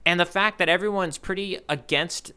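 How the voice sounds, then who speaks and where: A man co-hosting a casual manga discussion podcast in a playful, animated way. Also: high voice, moderate pace, clear, resonant tone. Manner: playful, animated, with spoken sound effects. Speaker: podcast co-host.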